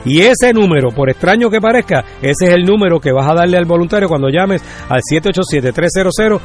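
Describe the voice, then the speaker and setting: A man making a religious radio donation appeal and slowly repeating a phone number. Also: high voice, lively intonation, faint music behind. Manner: slow, deliberate repetition of a phone number. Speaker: radio fundraiser announcer.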